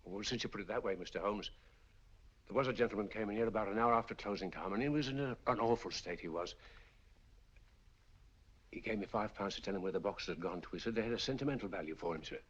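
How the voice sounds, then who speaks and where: An actor playing a deferential, chatty auctioneer with a husky voice, in 1940s film style. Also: male voice, fairly low pitch, quick pace, a bit bright. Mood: obliging. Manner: deferential, says sir. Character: obliging, chatty auctioneer, a man. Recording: dull lo-fi 1940s film sound.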